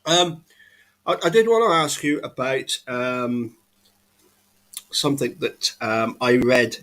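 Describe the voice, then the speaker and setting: A man from England with a voice of ordinary male pitch, hosting a relaxed chat over a video call. He talks hesitantly, filling pauses and restarting his sentences. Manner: hesitant, many restarts and fillers. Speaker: host. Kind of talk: casual live chat.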